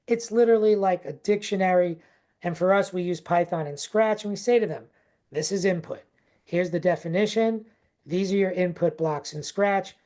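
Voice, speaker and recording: A woman's voice: mid-range voice, warm tone. A woman leading a teacher workshop over a video call, chatty and informal, checking in with "okay?" and "right?" as she talks. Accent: not native to Texas, unnamed regional accent. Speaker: teacher trainer. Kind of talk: live online teacher workshop.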